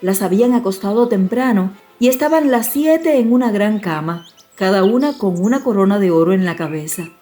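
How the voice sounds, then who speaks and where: A woman storyteller giving an expressive, measured narration of a dramatized fairy-tale audiobook. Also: mid-range voice. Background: music.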